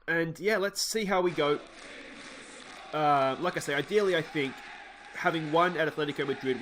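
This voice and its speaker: A man's voice: mid-range voice, slight background noise. Nasal Australian man commentating a game. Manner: rambling, self-correcting, excitable at goals. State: slightly nasal from a cold. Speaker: let's play host. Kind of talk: casual gameplay commentary.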